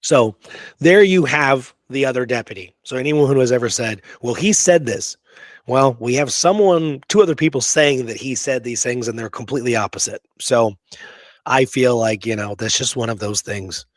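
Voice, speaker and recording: A man's voice: medium pitch, normal speed, dry recording. Casual man talking history, dry close sound. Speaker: podcast co-host presenting history research.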